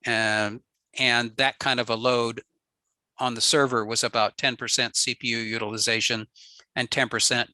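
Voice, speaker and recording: A man with a very bright, sharp voice walks through a technical slide presentation at an easy pace, dropping frequent ums and uhs as he explains. The sound is dry and close, with no room around it. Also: medium pitch, flat delivery, moderate pace, no echo. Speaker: ham radio presenter.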